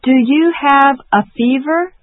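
A woman repeats each practice sentence slowly and carefully, as if modeling it for learners. The recording is dry with no room sound. It is slightly muffled and lo-fi. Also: high voice, no room sound. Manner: slow, careful, deliberate. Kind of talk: slow repetition drill. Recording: dull dry lo-fi audio.

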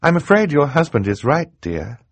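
Man voicing an earnest, high-pitched woman judge. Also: animated, no room sound. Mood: tearful, regretful. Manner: careful, deliberate, emotional. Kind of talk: audiobook character acting.

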